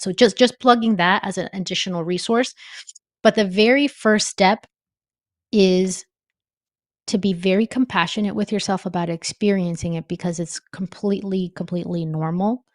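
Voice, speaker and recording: A woman's voice: lowish voice, no room sound, studio quality. A woman, a professor and podcast guest, explaining her advice in an earnest, emphatic teacher's manner. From born in Costa Rica, raised in New Jersey. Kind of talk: interview answers.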